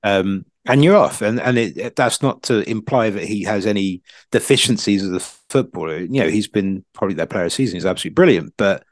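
A man giving casual football analysis as a pundit, haltingly, repeating words as he thinks. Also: lowish voice, lively intonation, no echo.

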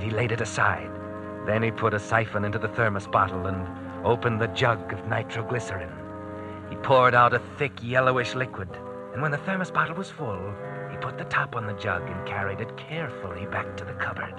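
A man's voice: fairly low pitch, fairly quick. A man narrating a hard-boiled old-time radio drama in scripted, storytelling style. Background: dramatic music.

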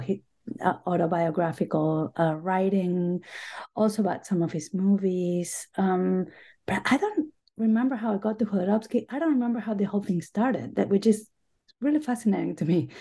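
A middle-aged woman from Argentina, an author and professor, answering interview questions reflectively with many pauses and restarts. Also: mid-range voice, vocal fry, dark tone.

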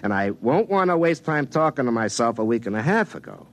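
A man's voice: medium pitch, very animated, fairly quick. An actor playing a cocky, folksy small-town telephone lineman in a 1950s radio drama, speaking in a wry, colloquial way. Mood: sly, flirtatious. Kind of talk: 1950s radio drama acting with first-person narration. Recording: vintage 1950s radio recording.

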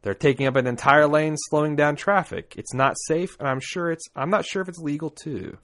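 A man's voice: a little high, brisk, fairly bright tone. An American man co-hosting a podcast, riffing casually and comically with irreverent, profane, ranting delivery. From United States. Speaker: podcast co-host. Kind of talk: casual comedic banter, reading listener feedback.

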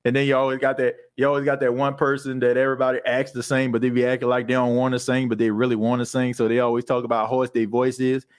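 A young man chats loosely as a podcast host, reacting to comments. He laughs often and breaks into lively impressions of churchgoers. His voice sits in an ordinary middle pitch. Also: fast talker, clean tone. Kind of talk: casual chat reading live comments.

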